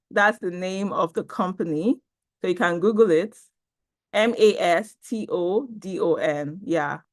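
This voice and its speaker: A woman's voice: medium pitch, a bit bright, dry recording, clean studio sound. A Nigerian woman presenting an online talk on a video call, chatty and upbeat, reading the chat as she goes. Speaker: webinar presenter.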